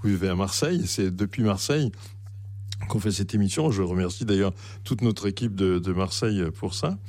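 A man's voice: on the low side, a little fast, darkish tone. A man hosting a radio interview, presenting a guest and music. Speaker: radio show host.

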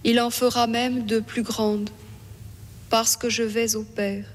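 A woman reading scripture and prayer in a solemn, even-toned manner. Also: a little high, slow. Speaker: prayer reader. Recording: long echoing hall reverb.

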